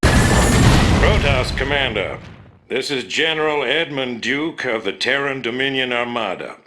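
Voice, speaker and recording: An actor playing a proud, hard-nosed military general in a video game, pompous and commanding. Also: male voice, medium pitch, unhurried, fairly bright tone, a little hiss or hum, lightly acted. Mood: threatening, officious. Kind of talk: scripted video game acting.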